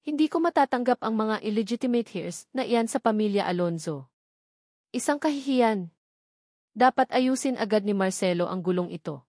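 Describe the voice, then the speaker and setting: A woman's voice: on the high side, lively intonation, moderate pace, darkish tone, dry recording, clean studio sound. A woman voicing a stern, proud older patriarch in a family drama story, cold and disapproving. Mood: disdainful.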